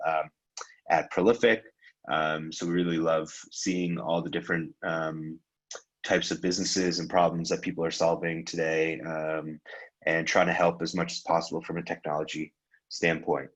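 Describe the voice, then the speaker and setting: A man from Iran giving a casual presentation on a video call, relaxed and loose, with frequent ums and restarts. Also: sits low. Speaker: guest speaker, venture capitalist.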